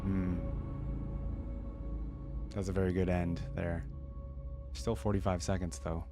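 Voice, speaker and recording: Male reaction host, lowish register, casual and tentative, thinking out loud over faint music. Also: flat delivery, slow. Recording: music playing behind the voice.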